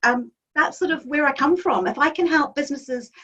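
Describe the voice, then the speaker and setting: A woman's voice: high-pitched, normal speed, sharp tone. A woman, a magazine editor chatting enthusiastically in an interview, with lots of ums. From New Zealand. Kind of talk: interview answers. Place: home office. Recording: distant, dull lo-fi call audio.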